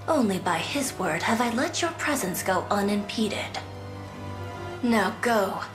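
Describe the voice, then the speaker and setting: A woman's voice: medium pitch, lively intonation, takes it slowly, over music. An actress playing a stern young woman fiercely devoted to her lord in a fantasy video game, formal and haughty. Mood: scornful.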